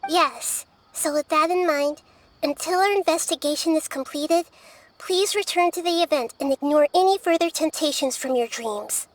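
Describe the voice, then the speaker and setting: A woman voicing a gentle, wise and thoughtful young girl deity in a video game, soft and girlish, with measured formal phrasing. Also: high voice, a little hiss or hum, close to an everyday voice. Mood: gentle, serious. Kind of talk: English video game voice acting.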